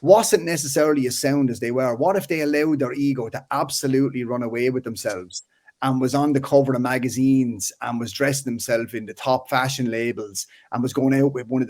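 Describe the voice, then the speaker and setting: A man in his thirties with a Waterford Irish accent, a filmmaker chatting casually as an interview guest, animated and full of restarts. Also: mid-range voice. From Ireland. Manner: animated, restarts often, frequent "like" and "you know".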